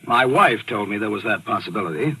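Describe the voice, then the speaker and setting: Smug, calculating radio thriller murderer. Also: male voice, lowish voice, lively intonation, fairly quick, sharp tone, breathy edge, exaggerated voice, rough recording. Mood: guarded, casual. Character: sly male actor, smug murderer narrating his crime. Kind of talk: 1940s radio thriller acting and first-person narration. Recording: old radio broadcast recording with music.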